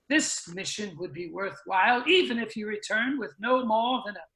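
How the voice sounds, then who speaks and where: A man playing a grave, commanding council leader in a science fiction reading, with forceful oratory. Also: high voice, exaggerated voice. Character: grave, commanding male council leader rallying a dying Earth. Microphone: video call. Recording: roomy, echoing video call audio.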